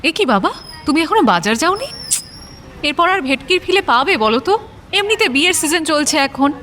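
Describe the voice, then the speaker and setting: Shrill, bossy young daughter. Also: female voice, very animated, normal speed, sharp tone, slight background noise, exaggerated voice. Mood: accusing. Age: young adult. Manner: scolding, insistent.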